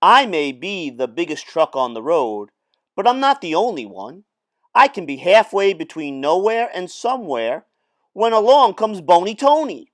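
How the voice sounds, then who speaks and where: A man's voice: high-pitched, sing-song, moderate pace, voice pushed up. A man voicing a friendly, boastful big truck in a folksy storytelling manner for a children's storybook read-aloud. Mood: cheerful, boastful.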